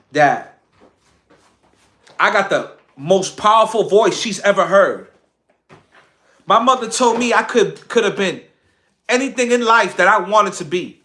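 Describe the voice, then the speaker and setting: A man's voice: high-pitched, animated, slow, long pauses, pushed and bright. A man giving an impassioned, boastful livestream monologue, speaking emphatically and repeating phrases for effect. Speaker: social media content creator on a livestream.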